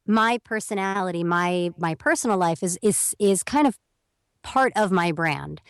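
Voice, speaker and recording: A woman's voice: fairly low pitch, a little slow, clear, resonant tone, dry recording, studio quality. A young woman speaking as a guest on an interview podcast, explaining at length in a chatty way and acting out quoted messages. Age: young adult. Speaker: voiceover talent guest.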